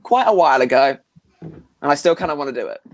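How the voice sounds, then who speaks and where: A man's voice: on the high side, lively intonation, fast talker. A man joining a casual chat over a video call as a guest, speaking in an easygoing, plain way.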